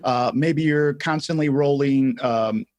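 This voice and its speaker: A man co-hosting a livestream, giving casual tutorial explanations in halting phrases with some repeats. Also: mid-range voice, a little mellow, no room sound. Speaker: livestream co-host.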